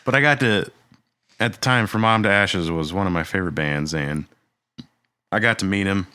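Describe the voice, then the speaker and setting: Deep-voiced man, laid-back storyteller. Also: normal speed, no room sound. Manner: relaxed storytelling, many fillers. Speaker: podcast co-host. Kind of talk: casual chat.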